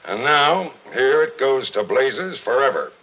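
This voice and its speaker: A muffled vintage radio recording of a relentless police captain. He has a mid-to-low male voice with very lively pitch, pressing each point in clipped, forceful tough-cop style, as in 1940s radio mystery acting. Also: measured pace, a little hiss or hum. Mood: insistent, explaining. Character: gruff, relentless police captain laying out the truth.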